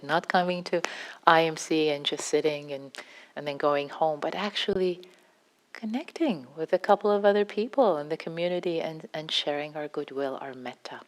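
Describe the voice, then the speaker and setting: A woman with a Farsi accent, a meditation teacher giving an unscripted dharma talk in a gentle, halting way with many restarts. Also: low-pitched. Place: meditation hall.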